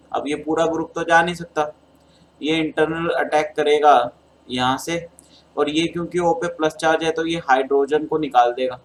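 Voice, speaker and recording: A man's voice: a little high, poor audio. Hindi-accented man teaching chemistry, sore throat. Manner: addresses students as beta, talks through steps. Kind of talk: exam solution lecture.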